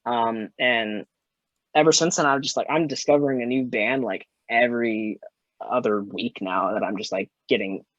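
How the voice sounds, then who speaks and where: A man's voice: mid-range voice, moderate pace, a bit bright, dry recording, dull audio. A man in his twenties, a musician and podcast guest, rambling in casual chat, filling his talk with "like" and "you know" and swearing freely. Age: 20s.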